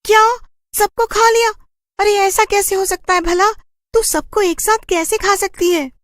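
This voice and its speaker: A man voicing a gullible village witch in a cartoon horror story, exclaiming and questioning in a shrill voice. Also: steady tone, unhurried, fairly bright tone, no room sound, studio quality. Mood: shocked, disbelieving. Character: village witch, loud, astonished and gullible woman.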